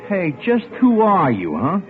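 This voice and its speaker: Wary vintage-radio bartender, noncommittal, animated, muffled recording with faint music. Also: male voice, high voice, a little slow, exaggerated voice. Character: wary, sly, casual male bartender. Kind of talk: old-time radio drama acting.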